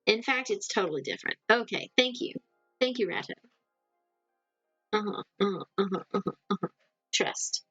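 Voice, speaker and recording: A woman's voice: medium pitch, animated, moderate pace, pauses often, sharp tone, husky, no echo, lo-fi sound, faint music behind. A woman with a mild Southern American accent, chatting loosely with her live audience, rambling and laughing often. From Southern United States. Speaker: livestream host. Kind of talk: casual chat with a live audience.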